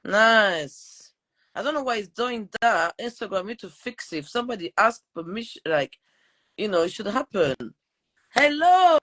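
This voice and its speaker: A woman's voice: medium pitch, expressive, normal speed, fairly bright tone. A woman from Portugal and Switzerland hosting a casual live interview, informal and given to repeating her words. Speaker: podcast host.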